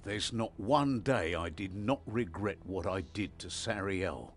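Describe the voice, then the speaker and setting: An actor playing an earnest, remorseful ancient warrior in a fantasy video game, with a creaky voice. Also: male voice, deep voice, expressive, a little slow. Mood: regretful.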